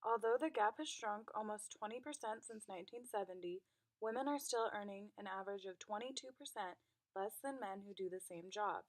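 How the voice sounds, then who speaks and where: A woman narrating a student project in an even, read-aloud delivery. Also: mid-range voice, fairly quick. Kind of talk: scripted narration.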